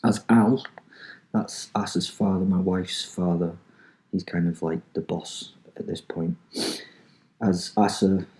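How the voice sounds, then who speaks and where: A man's voice: deep voice, mellow. A man with a Manchester accent, hosting a podcast, rambling casually with swearing and lots of ums, then reading out a story he wrote. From England. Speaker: podcast host.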